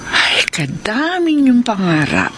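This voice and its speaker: A woman acting a caring older mother of grown children, gentle and halting, in a family audio drama. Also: on the high side, wildly swooping pitch, noisy background, exaggerated voice. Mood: teasing, approving. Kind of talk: dramatic Tagalog radio-style drama acting.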